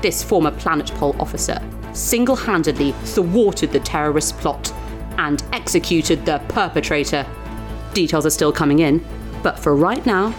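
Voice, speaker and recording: Female news anchor played by an actress, slightly low voice, solemn and grandiose, formal newscast over clear music. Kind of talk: scripted newscast in sci-fi audio drama.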